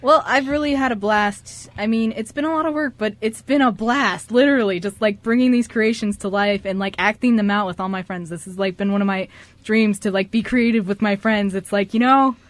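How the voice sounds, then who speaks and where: A woman's voice: medium pitch, brisk. A young woman chatting casually with friends on a radio show, effusive and given to repeating herself. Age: young adult. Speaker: student radio show writer and actor. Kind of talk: casual group chat.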